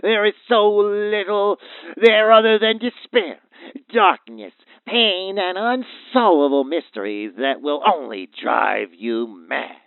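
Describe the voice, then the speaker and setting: An actor playing a grave, foreboding doctor of paranormal phenomena, speaking formally as a radio play guest over a phone line. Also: male voice, high-pitched, gravelly, smooth, no echo, exaggerated voice. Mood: pleading, despairing. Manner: formal, ominous, deliberate wording. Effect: phone line filter. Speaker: expert guest calling in to a radio show. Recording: thin, tinny phone line.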